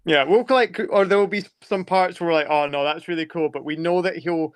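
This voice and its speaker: A man's voice: fairly high pitch, a little fast, smooth, dry recording. A man chatting casually as a band member being interviewed. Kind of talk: casual chat.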